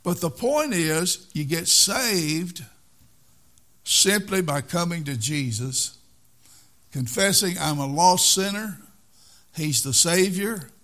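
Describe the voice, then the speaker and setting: A man's voice: high voice, lively intonation, slow pace, long pauses, a bit bright. Older man preaching a repetitive earnest sermon. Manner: earnest, repeats phrases for emphasis.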